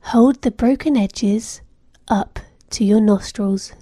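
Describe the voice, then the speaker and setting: A woman narrating a guided meditation in a soothing, gentle manner, giving measured instructions with soft phrase endings. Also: medium pitch, dry recording, studio quality.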